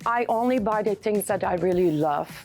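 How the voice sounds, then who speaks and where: A boutique owner from Belgium, talking about her shop in relaxed, slightly formal English. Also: medium pitch, normal speed, faint music behind. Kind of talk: interview.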